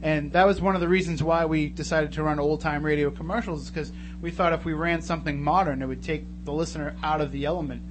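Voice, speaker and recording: A man's voice: a little high, steady tone, fast. A man hosting a casual live radio interview in a studio, chatty and wordy. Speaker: radio show host. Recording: radio studio, loud noise and hum.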